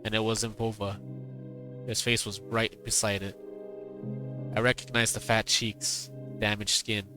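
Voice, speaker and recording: A male streamer reading a visual novel's dialogue aloud, his voice tired and strained, halting and repeating words between laughs. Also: fairly low pitch, level tone, over music. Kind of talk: reading game dialogue aloud, then casual chat.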